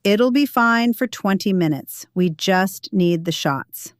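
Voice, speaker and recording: A woman voicing a pushy, impatient, self-important young female designer in a narrated audio story, brisk and bossy. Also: medium pitch, animated, dry recording, clean studio sound. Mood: insistent.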